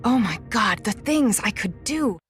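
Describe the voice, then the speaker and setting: An actress playing an intense teenage girl with secret powers in an audio drama, in a breathy voice. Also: fairly high pitch, lively intonation, a little slow, soft background music. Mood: darkly awed.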